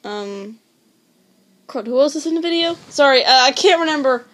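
A woman's voice: high-pitched, expressive, moderate pace, fairly bright tone. A woman recording a casual voice demo, rambling and hesitant, often losing her train of thought and poking fun at herself. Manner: rambling, hesitant and self-deprecating. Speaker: amateur voice actor.

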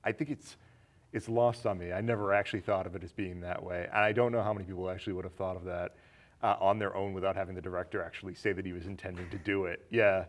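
A man in his thirties with a fairly low voice talks films as a co-host. His delivery is casual and questioning, building each thought as he goes. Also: steady tone, quick pace. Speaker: film discussion show co-host. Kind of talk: casual film discussion. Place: small indoor studio set with curtain.

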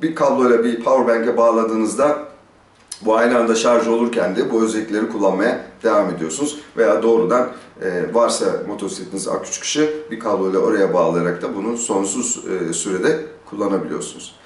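A man's voice: fairly low pitch, brisk. Middle aged guy reviewing gear to camera, chatty explainer, kinda far from the mic, echoey courtyard sound. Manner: conversational, asks and answers own questions. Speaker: motorcycle gear reviewer, host. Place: small stone-walled outdoor courtyard. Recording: roomy echo, distant microphone.

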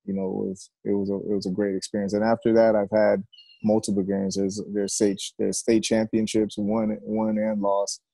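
A middle-aged man, a football coach being interviewed on a video call, speaking reflectively and haltingly with repeated words. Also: lowish voice, little pitch movement, creaky, mellow, no room sound, low-quality recording. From Jamaica and United States. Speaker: high school football coach, interview guest. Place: covered outdoor porch.

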